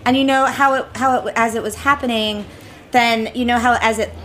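A woman's voice: on the high side, steady tone, fairly quick, fairly bright tone, a little hiss or hum, low-quality recording. A woman from Nebraska chatting casually as a studio guest, telling stories in a rambling, giggly way. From Nebraska, United States. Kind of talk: casual chat, storytelling. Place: radio studio.

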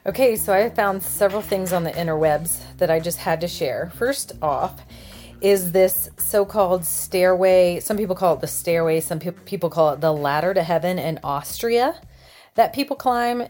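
A woman's voice: fairly low pitch, darkish tone. A woman with an American accent chatting casually as a podcast co-host. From United States. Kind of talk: casual chat.

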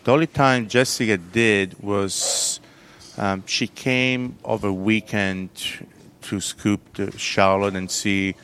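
A very low-pitched man from southern Italy talks in Italian-accented English as a chef being interviewed. He stops and restarts often, filling gaps with "um" and "uh". A faint hiss sits behind him. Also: fairly even intonation, fairly bright tone. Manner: halting, many fillers and restarts, loose grammar. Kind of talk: casual interview talk.

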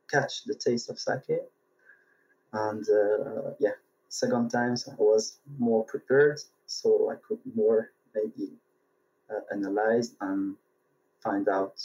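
A man's voice: lowish voice, warm tone, slightly rough. A man from France and Tunisia with a French accent, answering interview questions hesitantly as he searches for words. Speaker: interview guest, sake brewery worker. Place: remote call from Japan. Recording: dull, muffled remote connection.

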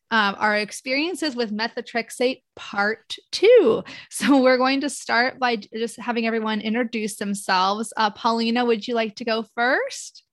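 A woman's voice: a little high, a bit bright, dry recording. A woman of about forty speaking enthusiastically as a podcast host. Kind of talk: upbeat podcast introduction and hosting.

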